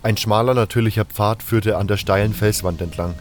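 A man's voice: fairly low pitch, steady tone, a little fast, faint music behind. A man reading scripted first-person fantasy narration as an audiobook narrator.

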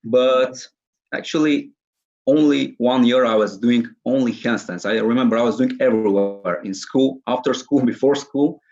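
A man's voice: mid-range voice, normal speed, a little mellow, muffled recording, faint music behind. A man in his early twenties with a Bulgarian accent, giving casual answers as an interview guest. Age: early 20s. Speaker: interview guest, calisthenics athlete.

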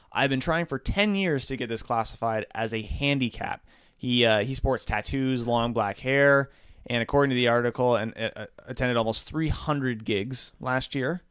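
A man co-hosting a podcast, reading out an odd news story in a relaxed, hesitant way with lots of fillers. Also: mid-range voice, clean tone, no room sound, studio quality. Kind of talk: casual chat.

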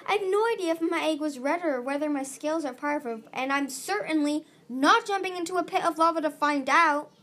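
A woman's voice: high-pitched, moderate pace, hardly any pauses, slightly rough. A woman voicing a stubborn young male dragon in a story reading, growling and dismissive. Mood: defiant. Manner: growling, flatly scornful. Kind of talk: audiobook-style character reading.

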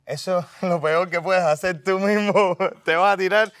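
A man's voice: high voice, fairly even intonation, measured pace, a bit bright, dry recording. A man from the United States, an actor being interviewed, chatting informally and often restarting his sentences. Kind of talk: casual chat.

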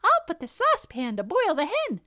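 Woman as a high-pitched, greedy little fox cub. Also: wildly swooping pitch, moderate pace, bright, forceful tone, exaggerated voice. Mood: greedy, excited. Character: hungry, greedy, bad little fox cubs.

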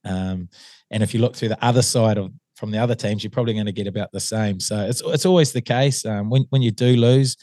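A man with a New Zealand accent, an assistant rugby coach talking as a guest, his voice a little hoarse and his speech full of ums and restarts. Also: on the low side, fast, mellow, no echo. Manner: hesitant, many ums and restarts. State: slightly hoarse. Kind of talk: casual interview chat.

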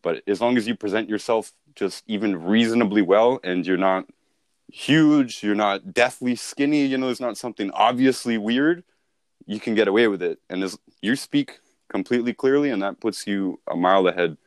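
A man's voice: lowish voice, moderate pace, dry recording. A man speaking as a sales mentor in an informal training talk, with an instructive, conversational and emphatic way of explaining.

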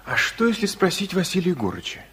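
An impulsive young son in a 1960s Soviet radio drama. The voice is husky and a little high, with very lively swings of pitch. He comes across as earnest, insistent and big-hearted. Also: quick pace, exaggerated voice. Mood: urgent, insistent. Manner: eager, insistent.

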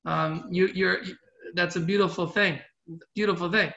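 This is a middle-aged man with a high-pitched, forceful voice, teaching an informal religious class. He speaks earnestly and haltingly, often repeating a phrase before finishing the thought. The recording is echoey and somewhat muffled. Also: steady tone, vocal fry. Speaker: rabbi giving a class. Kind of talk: informal religious lecture with question and answer.

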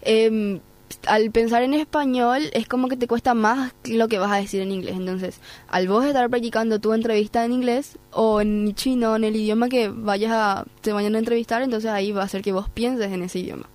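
A woman's voice: fairly high pitch, fairly even intonation, normal speed, a little mellow. A woman with a Paraguayan Spanish accent, speaking conversationally as a podcast guest giving advice. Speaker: guest, programme coordinator.

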